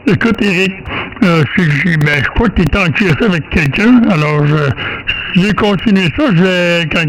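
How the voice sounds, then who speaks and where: A man's voice: sits high, a bit bright, rough recording. A man with a Quebec French accent chats casually as an amateur radio operator, hesitating, repeating words and rambling along. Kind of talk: casual radio contact chat. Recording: amateur radio recording, faint static.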